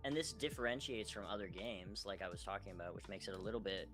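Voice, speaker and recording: Fast-talking low-voiced man in casual chat. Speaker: podcast co-host discussing video games. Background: background music.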